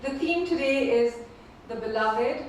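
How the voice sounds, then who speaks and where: A woman whose first language is Urdu, reading her poems slowly and repeating each first line at a live poetry event. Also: on the high side, a little hiss or hum. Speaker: featured poet. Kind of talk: poetry reading with spoken introductions. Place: performing arts venue. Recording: echoey hall from far off.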